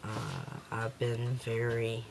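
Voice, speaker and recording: A man with a slightly raspy voice talking hesitantly in a casual home video diary, with many pauses and fillers. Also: mid-range voice, wildly swooping pitch, background noise.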